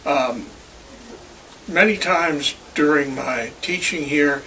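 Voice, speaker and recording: A man in his seventies or older, a professor giving measured, formally worded interview answers in complete sentences. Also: fairly high pitch, pushed and bright. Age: 70s or older. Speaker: university professor. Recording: distant mic, faint background noise.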